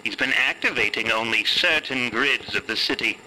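A man voicing an eerie discarnate spirit that speaks slowly and formally from a ghost box in an audio fiction game. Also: medium pitch, sharp tone, a little hiss or hum, poor audio. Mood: ominous, clinical. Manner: slow, deliberate, formal phrasing. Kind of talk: tabletop audio fiction role-play.

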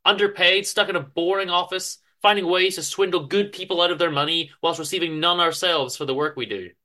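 Story reading: gloomy, rebellious office drone played by a man, wordy and earnest, slightly high, forceful voice. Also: steady tone, brisk. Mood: indignant rant. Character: Chester, gloomy, principled, rebellious male office worker.

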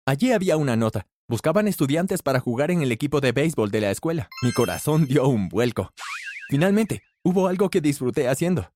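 A man narrating a scripted first-person story with animated delivery, shifting his pitch when he voices the characters. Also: fairly quick, no echo, faint music behind.

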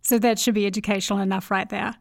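A woman's voice: mid-range voice, level tone, fast talker, creaky, no echo, studio quality. A woman with a New Zealand accent hosting a podcast, reading an earnest, upbeat intro and then interviewing a guest. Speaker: podcast host.

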